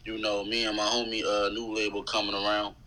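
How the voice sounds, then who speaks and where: Casting: male rapper guest, Philadelphia accent, bright forceful tone, slangy conversational storytelling. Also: fairly low pitch, a little fast. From West Philadelphia, USA. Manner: colloquial, slangy, animated retelling with quoted dialogue. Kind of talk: casual chat, storytelling.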